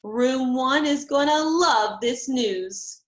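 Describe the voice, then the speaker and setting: A woman voicing an excitable, talkative little girl from a storybook, bubbly and wide-eyed, with emphatic, exclamatory childlike delivery. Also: high voice, slow, roomy sound, pitched above the performer's own voice. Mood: delighted, proud.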